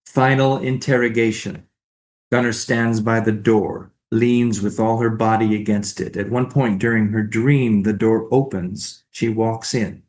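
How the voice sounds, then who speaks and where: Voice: mid-range voice, fairly even intonation, a little slow, a little mellow. Stage directions reader, mid-range voice. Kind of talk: scripted narration for a staged play reading.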